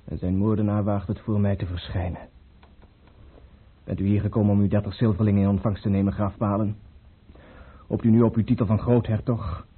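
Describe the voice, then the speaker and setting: Anguished young monarch: breathy, low, dramatic, heard through narrow vintage radio sound. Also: male voice, flat delivery, fairly quick, long pauses. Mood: bitter, accusing. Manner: dramatic, emotionally weighted delivery. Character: anguished young tsar, grieving his murdered father. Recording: muffled, narrow-band old radio play recording.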